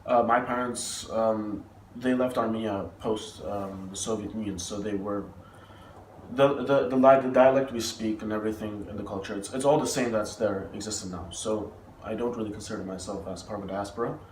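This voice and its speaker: A man's voice: fairly low pitch, normal speed, distant mic. A young male university student raised in the Middle East, answering interview questions hesitantly with frequent "uh" and restarts. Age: young adult. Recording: roomy, from off mic, faint noise.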